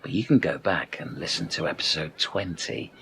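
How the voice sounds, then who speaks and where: A man's voice: rumbling low, animated, normal speed, bright, forceful tone, a little hiss or hum, heavily acted. A man acting a menacing but easily sidetracked castaway gang leader in a comedic radio play, with a theatrical, sweary delivery. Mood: proud, chatty.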